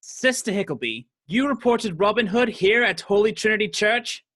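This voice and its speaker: An actor playing a menacing lord of the law in a radio play, hunting an outlaw. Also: male voice, high voice, lively intonation, normal speed, fairly bright tone. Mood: stern questioning.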